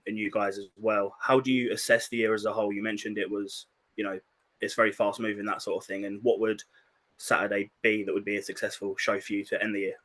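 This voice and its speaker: A man's voice: lowish voice, a bit bright. A man speaking politely as a journalist putting questions on a press conference call.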